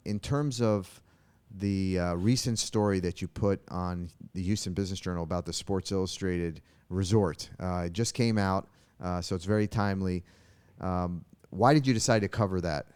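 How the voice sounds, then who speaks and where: A man's voice: sits low, normal speed, a little mellow, smooth. A man hosting a talk show, interviewing his guest in a casual, conversational style.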